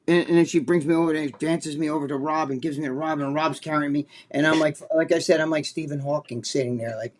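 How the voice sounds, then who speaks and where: Profane, animated New Jersey man. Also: fast talker, hardly any pauses, a little hiss or hum. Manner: animated, profane, acts out quotes in a high voice. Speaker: podcast co-host. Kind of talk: casual storytelling chat.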